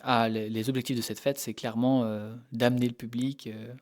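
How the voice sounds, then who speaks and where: Fast-talking, hesitant man from Normandy. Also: medium pitch, level tone. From Normandy, France. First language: French. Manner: hesitant, often repeating words as he explains. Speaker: interview guest, animal behaviour researcher. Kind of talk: informal interview answers.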